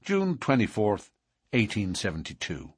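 A bold young-blooded outlaw from an audiobook, played by a man. He is self-assured and matter-of-fact in his defiance, with a slightly husky voice, lively intonation and a close, dry sound. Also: lowish voice, measured pace, dry recording, heavily acted. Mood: cool, assured. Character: cool, bold male outlaw newcomer, quick-tongued. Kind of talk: audiobook character dialogue.

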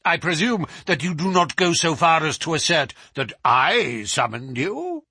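A man voicing an imperious, malicious old professor with sharp, sneering questions in a storybook reading. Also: very animated, measured pace, husky, no room sound, studio quality, full character voice. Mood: sharp, accusing. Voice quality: high, screaming when roused. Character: malicious old male professor, imperious, erupting into screaming rage. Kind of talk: dramatised audiobook reading.

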